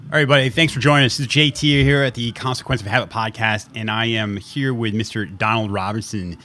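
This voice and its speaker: A man hosting a podcast, talking casually and haltingly, often repeating words as he sets up questions over a video call. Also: on the low side, steady tone, bright, forceful tone. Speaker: podcast host. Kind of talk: casual podcast intro and interview.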